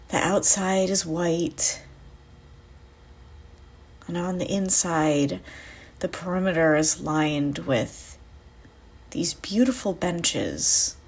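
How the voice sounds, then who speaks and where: A woman guides a visualization meditation in a slightly low voice. Her delivery is very unhurried and soothing, with long pauses. The tone is soft and breathy, at times slipping toward a whisper.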